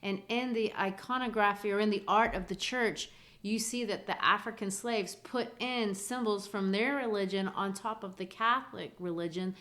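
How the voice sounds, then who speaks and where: A woman's voice: mid-range voice, normal speed, a bit bright. A woman, co-hosting a literary podcast and explaining things in an earnest way. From grew up in Brazil. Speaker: podcast co-host.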